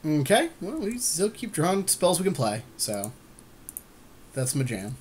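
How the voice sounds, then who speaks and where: A man's voice: a little high, very animated, unhurried, a little mellow, slight background noise. A man co-hosting casual gameplay commentary, agreeing readily with playful, slangy banter. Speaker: podcast co-host playing an online card game.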